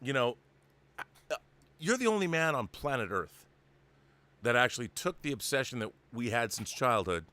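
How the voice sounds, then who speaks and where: A man's voice: medium pitch, very animated, normal speed, pauses often, bright, forceful tone, no room sound. A middle-aged American man hosting a show, speaking effusively with dramatic build-ups and mock solemnity. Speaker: show host. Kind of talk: theatrical show introduction and enthusiastic interview chat.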